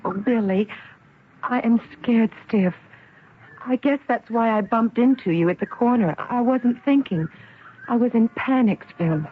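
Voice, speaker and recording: Young female bank trainee, higher-pitched, nervous, earnest, polite, tinny radio drama recording. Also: measured pace, breathy edge. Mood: anxious. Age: young adult. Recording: tinny, noisy old radio broadcast recording.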